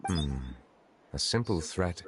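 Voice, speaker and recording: A man voicing a formal, composed, solemn judge in a video game, speaking in a grave, measured manner. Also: deep voice, animated, over music. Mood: grave, analytical.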